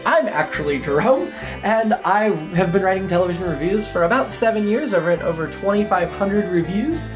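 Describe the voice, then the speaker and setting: A man's voice: high-pitched, expressive, fairly quick, low-quality recording. A man hosting a comedy podcast, giving a scripted introduction and promotion in a plain presenting style. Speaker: podcast host and TV reviewer. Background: guitar music.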